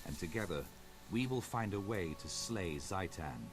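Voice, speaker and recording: An actor playing a solemn male war commander in a video game, speaking in a grave, rousing and measured way. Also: sits low, expressive. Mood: solemn resolve. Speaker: game character, military leader. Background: orchestral game music.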